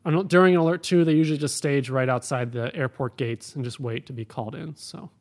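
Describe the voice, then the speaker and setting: A man's voice: medium pitch, fairly quick, a little mellow. A man who works as an air traffic controller, answering interview questions in a plain, practical, explanatory way.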